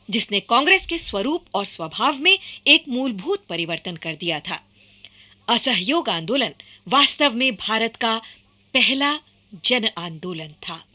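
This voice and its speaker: Animated, bright-voiced woman narrator. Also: a little high. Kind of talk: formal scripted radio documentary narration.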